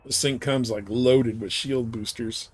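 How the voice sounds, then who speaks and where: A man's voice: mid-range voice, fairly even intonation, a little slow. A man chatting informally and slangily as he gives rambling live commentary on a video game he is streaming. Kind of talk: casual live gameplay commentary. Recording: clear background noise.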